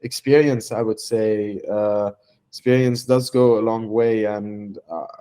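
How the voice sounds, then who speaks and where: A young man from Lebanon, a startup founder giving a testimonial interview, speaking haltingly with many fillers and restarts. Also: fairly low pitch, steady tone, warm tone, clean tone.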